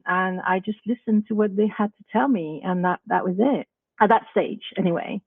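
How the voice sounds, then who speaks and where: A woman's voice: mid-range voice, moderate pace, breathy edge, dry recording. A woman whose first language is not English, a parent guest telling her own story emphatically in a podcast discussion. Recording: tinny phone-like line.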